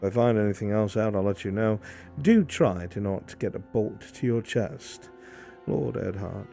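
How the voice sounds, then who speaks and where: A man playing a shrewd informant in a fantasy roleplay, speaking in a formal, deliberate way. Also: lowish voice, vocal fry, warm tone. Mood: measured, businesslike. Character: measured, shrewd male informant in fantasy court intrigue. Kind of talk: improvised fantasy roleplay dialogue. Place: online VR voice chat. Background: music.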